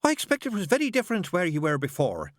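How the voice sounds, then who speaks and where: A man's voice: sits high, huge pitch swings, no echo, clean studio sound, pitched above the performer's own voice. A man doing a flustered, twittering nun nurse. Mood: nervous chatter. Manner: gushing, hurried, fluttery. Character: flustered chatty middle-aged nun nurse, woman.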